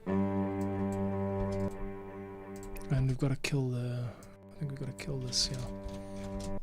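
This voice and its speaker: A man's voice: low voice, completely flat delivery, extremely slow, over music. A man thinking aloud as he edits audio for a podcast, talking and muttering to himself, sometimes under his breath. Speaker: podcast editor and producer.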